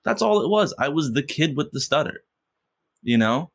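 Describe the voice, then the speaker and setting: A man's voice: on the high side, lively intonation, moderate pace, vocal fry, slightly rough. A man who stutters, a podcast guest speaking reflectively in a casual interview chat. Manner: stutters, with repeated sounds and fillers; reflective, with many "like" and "you know".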